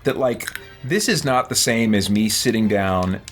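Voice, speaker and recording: A man's voice: lowish voice, a little slow, fairly bright tone, faint music behind. A man hosting a casual gaming live stream, chatting and thinking aloud as he plays.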